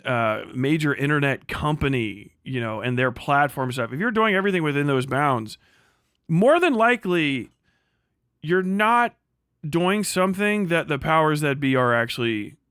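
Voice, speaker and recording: A man's voice: a little high, a bit bright, dry recording, clean studio sound. A man hosting a livestream with casual commentary, blunt, sweary and rambling in the way he talks. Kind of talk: casual livestream commentary, reading and reacting to posts.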